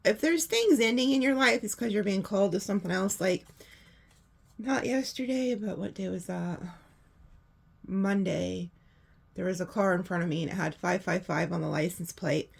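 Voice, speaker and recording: A woman with a hoarse voice, chatting casually through a tarot reading and laughing often. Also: mid-range voice, moderate pace. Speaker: tarot reader.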